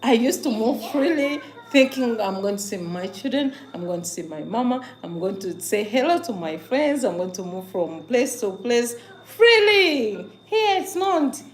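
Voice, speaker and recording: Higher-voiced Ugandan woman chatting on a livestream. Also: sing-song, unhurried, few pauses, low-quality recording. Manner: emphatic and repetitive, with rhetorical questions and questioning hums. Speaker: livestream host. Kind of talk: casual livestream chat to viewers.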